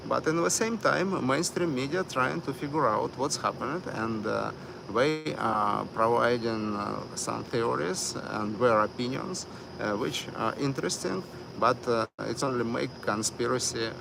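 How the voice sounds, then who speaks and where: A man hosting a live stream, talking slowly with many fillers and broken grammar. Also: mid-range voice, gravelly, noisy background. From United States. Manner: hesitant, many fillers, non-native grammar. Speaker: livestream host.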